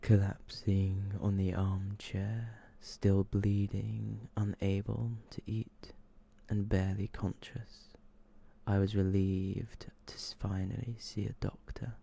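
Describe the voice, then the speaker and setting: A man with a very low, creaky voice reads scary stories in an ASMR style. His delivery is slow and hushed, often sinking toward a whisper, with a dark, mellow tone. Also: level tone. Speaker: ASMR host reading short horror stories. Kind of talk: ASMR scripted narration.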